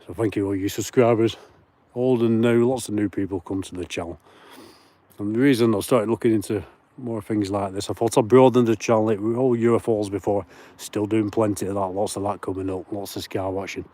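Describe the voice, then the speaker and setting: Man, broad Lancashire accent, low mellow voice, chatty livestream host, outdoors on the moors, phone mic. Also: fairly even intonation, brisk, no room sound. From Lancashire, England. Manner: chatty, reads viewer comments aloud, greets viewers by name. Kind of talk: casual livestream chat while walking. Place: outdoors on moorland at night.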